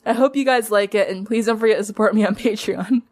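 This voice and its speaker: A woman reading a story aloud as narrator, in expressive scripted narration. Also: mid-range voice, quick pace.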